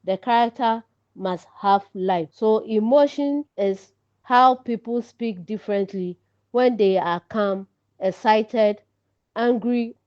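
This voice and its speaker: A woman with a Ghanaian accent giving an instructional lesson with deliberate, explanatory phrasing. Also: fairly high pitch, a little mellow, dry recording. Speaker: teacher presenting an online lesson. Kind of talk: instructional lecture. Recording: slightly dull, lo-fi audio.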